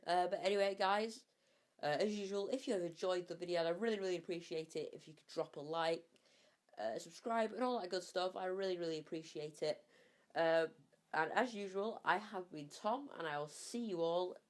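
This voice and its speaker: A man's voice: high voice, moderate pace. Young man with a cold, rambling. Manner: rambling, many fillers, repeats words for emphasis. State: has a cold and a slightly sore throat. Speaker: gaming YouTuber reviewing maps. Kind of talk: casual game review commentary.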